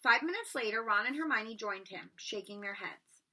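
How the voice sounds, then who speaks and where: A woman narrating a storybook aloud in an expressive read-aloud style. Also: on the high side, normal speed.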